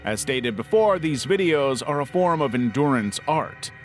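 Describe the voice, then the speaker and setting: A man's voice: mid-range voice, expressive, moderate pace, soft background music. A man reading scripted narration in the role of an online video narrator. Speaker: narrator of internet video countdown.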